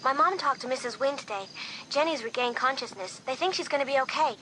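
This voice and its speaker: A woman voicing a practical-minded teenage schoolgirl, brisk and matter-of-fact. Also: high voice, pushed and bright, a little hiss or hum, poor audio.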